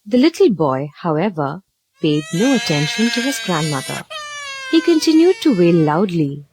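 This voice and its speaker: A woman narrating a children's story from a script, with an expressive, dramatic read-aloud delivery. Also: fairly low pitch, normal speed, background noise.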